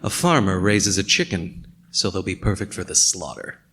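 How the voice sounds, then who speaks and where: A man's voice: deep voice, lively intonation, moderate pace, a bit bright. An actor playing a world-weary, sardonic male narrator, delivering scripted noir narration in a radio play. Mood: wry, brooding.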